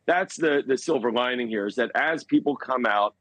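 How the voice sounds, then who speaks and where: A man giving foreign policy analysis as a news interview guest, speaking in a measured, halting way and stressing key words. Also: medium pitch, a bit bright, no room sound.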